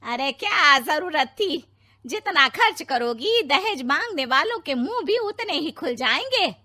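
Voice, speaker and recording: Sharp, emphatic middle-aged mother, acted out by a woman. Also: high voice, sing-song, measured pace, full character voice. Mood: teasing, worried. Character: practical, worrying middle-aged mother of grown daughters. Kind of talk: cartoon story dialogue.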